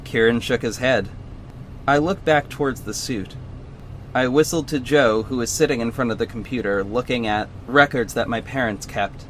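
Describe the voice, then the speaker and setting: An actor playing an earnest superhero who narrates his own story in a measured, serious audio drama style. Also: mid-range voice, a bit bright, slight background noise, lightly acted, low-quality recording. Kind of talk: scripted first-person audio drama narration.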